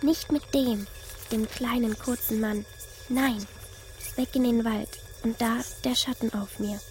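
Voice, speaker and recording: A woman's voice: on the high side, steady tone, unhurried, a little mellow, slight background noise, lightly acted. A woman voicing the sullen, haunted ghost of a little girl in a radio drama, in short, childlike, repeated phrases. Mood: sullen, hurt.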